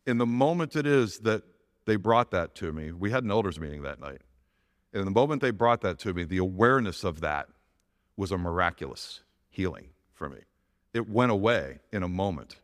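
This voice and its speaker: Earnest middle-aged man with a big, low voice. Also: animated, normal speed, long reverb tail. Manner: earnest, with frequent restarts and "it is that". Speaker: church elder giving a testimony. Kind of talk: personal testimony from a church stage.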